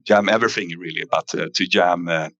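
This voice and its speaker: Deep-voiced man presenting, halting. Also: gravelly, sharp tone, no echo, dull audio. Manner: halting, hesitant delivery with frequent fillers. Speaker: company executive presenter. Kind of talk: investor earnings presentation, semi-scripted.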